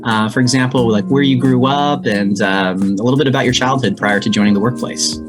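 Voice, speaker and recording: Man, podcast host, enthusiastic, mid pitch, music bed underneath. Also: fairly quick. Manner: upbeat, enthusiastic interviewer. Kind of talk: podcast interview.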